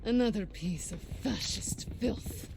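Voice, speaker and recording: An actress playing a vengeful, defiant Soviet sniper, speaking with deliberate contempt in a game. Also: female voice, mid-range voice, gravelly, noisy background. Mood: cold menace.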